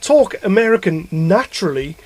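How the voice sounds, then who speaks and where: A man's voice: sits high, very animated, normal speed, slight background noise. A man hosting a podcast, chatting casually about films in a blunt, sweary, ranting way. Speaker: podcast host reviewing films. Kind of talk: casual chat.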